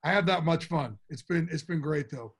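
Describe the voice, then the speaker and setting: A middle-aged man with a big, enthusiastic voice, pitched a bit higher than most men. He answers interview questions in a candid, from-the-heart way. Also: moderate pace, a bit bright, breathy edge. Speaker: interview guest. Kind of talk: casual interview talk.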